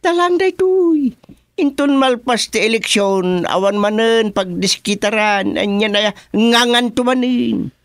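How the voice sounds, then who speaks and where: A man playing an older village father who serves as a barangay councilor, speaking in a gruff, laid-back way. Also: very high voice, expressive, a little slow, a bit bright, no room sound, clean studio sound, heavily acted. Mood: joking. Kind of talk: radio drama acting.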